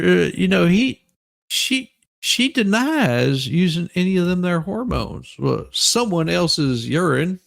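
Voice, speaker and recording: A man giving a mock dramatic reading with crude comic asides, in a halting, folksy, stammering delivery. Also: a little high, creaky, a bit bright. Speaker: livestream host.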